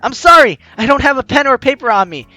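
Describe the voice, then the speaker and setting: A man's voice: very high voice, fast talker, no room sound. A man hosting a let's play, expressively reading game text aloud and commenting, on a close mic with music behind. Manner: expressive, acts out lines as he reads.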